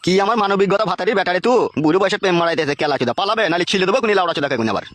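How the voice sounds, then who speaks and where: A man's voice: sits high, lively intonation, very fast, fairly bright tone. A man voicing an impatient, foul-mouthed village bully in a comic cartoon, threatening and scolding. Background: background music.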